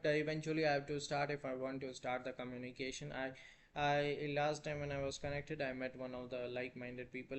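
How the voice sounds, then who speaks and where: Hesitant young Indian man, flat voice. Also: medium pitch, a little hiss or hum. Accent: Indian English. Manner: hesitant, many fillers and restarts. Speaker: vlogger. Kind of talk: unscripted app walkthrough. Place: small indoor room.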